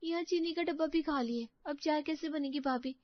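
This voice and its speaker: High-voiced breezy young daughter-in-law, acted by a woman. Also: a little fast, no echo, dull audio, close to an everyday voice. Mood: fretful. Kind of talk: Hindi moral-story cartoon dialogue.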